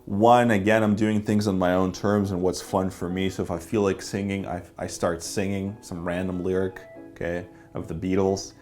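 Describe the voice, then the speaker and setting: A man's voice: low-pitched, little pitch movement, moderate pace, a little mellow. A man talking as a dating coach, giving a casual commentary breakdown of his own footage with lots of filler words. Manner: casual, laid-back, many fillers. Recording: faint background music.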